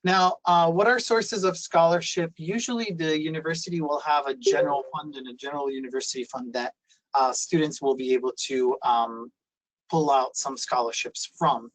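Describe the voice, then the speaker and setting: A man presents on a video call, his voice sitting a little high for a man. He explains things patiently, with plenty of fillers and restarts along the way. The sound is dry and close, with no room echo. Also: fairly even intonation, moderate pace. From Italy. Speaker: university presenter. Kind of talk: webinar presentation.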